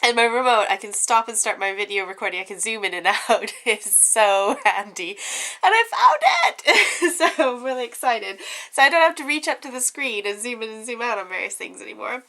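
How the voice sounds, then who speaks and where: A young woman talks through her craft projects with a blocked, stuffy nose from a head cold. Her voice is bright, and she chats casually, full of little laughs and filler ums. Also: fairly high pitch, very animated, moderate pace. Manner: chatty, giggly, lots of ums. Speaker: craft vlogger. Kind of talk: casual show-and-tell chat.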